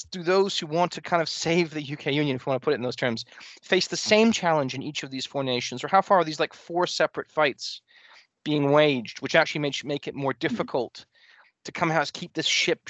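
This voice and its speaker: A man with a Canadian accent asking a long question, talking quickly and restarting his sentences. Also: fairly high pitch, no room sound. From grew up in Germany and Canada. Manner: fast, animated, restarts often. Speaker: interviewer. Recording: dull dry lo-fi sound.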